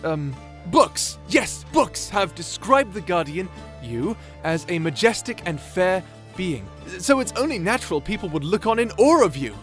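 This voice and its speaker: A man's voice: high-pitched, very animated, unhurried, hardly any pauses, faint music behind. A man playing a shy, nerdy young wizard in a fantasy audio roleplay, stammering, bashful and apologetic. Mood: flustered admiration. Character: shy nerdy young male wizard, flustered, eagerly polite.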